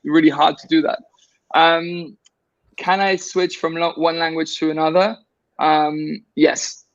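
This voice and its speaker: A man's voice: on the high side, vocal fry, no room sound, lo-fi sound. A man guest in a casual conversation, rambling with many restarts and fillers.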